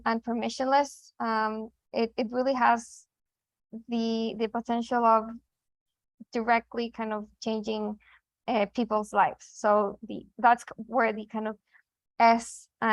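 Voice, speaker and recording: A woman's voice: a little high, no room sound. A young woman from Chile, co-hosting a podcast in casual conversation, thoughtful, with many fillers and restarts. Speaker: podcast co-host.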